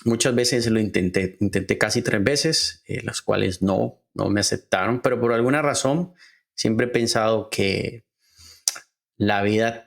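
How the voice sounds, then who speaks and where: Colombian man, Cali accent, lowish voice, earnest, halting, filler-heavy storytelling. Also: gravelly. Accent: Colombian Spanish from Cali. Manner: earnest, halting, with frequent "eh" fillers and slow deliberate stretches. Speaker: podcast host. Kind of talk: personal storytelling monologue.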